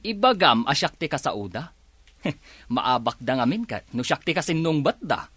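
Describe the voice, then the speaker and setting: A man's voice: fairly high pitch, very animated, moderate pace, a bit bright, husky, no echo, full character voice. A man playing a stubborn, self-righteous husband in a radio drama, argumentative and boastful. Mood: boastful, indignant.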